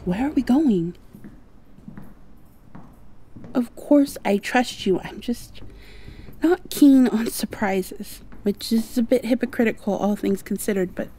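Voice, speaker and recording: A woman's voice: fairly high pitch, a little slow, husky. A woman playing a shy, insecure half-mosquito vampire girl in an ASMR roleplay, hesitant and confiding. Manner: hesitant, soft-spoken, confiding.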